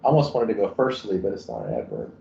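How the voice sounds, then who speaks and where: A man reads aloud slowly and hesitantly, stopping between words. His low voice is gravelly and mellow. He is heard in an echoey room on a dull, muffled recording. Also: huge pitch swings, slight background noise. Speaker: class member reading Greek text. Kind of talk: slow halting reading aloud.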